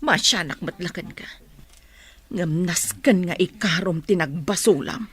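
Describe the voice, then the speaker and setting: A woman's voice: lowish voice, animated, a little slow, breathy edge, heavily acted. A woman with an Ilocano Filipino accent acting a caring but frank middle-aged mother in a radio drama. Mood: reproving.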